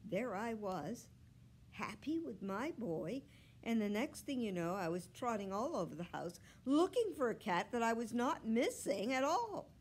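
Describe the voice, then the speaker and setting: Woman as an expressive, unhurried storybook dog narrator. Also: a little high, slightly rough, voice pushed up, heavily acted. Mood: puzzled, worried. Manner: earnest, confiding, expressive. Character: earnest, fretful male pet dog telling his story.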